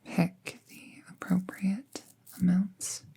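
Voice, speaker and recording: A woman plays a friendly young apprentice witch in an intimate audio roleplay. Her voice is low and mellow, breathy and often close to a whisper. She takes her time, murmuring in a casual, reassuring way. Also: level tone, slow, exaggerated voice. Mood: focused, calm. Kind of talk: roleplay audio drama, soft ASMR-like delivery.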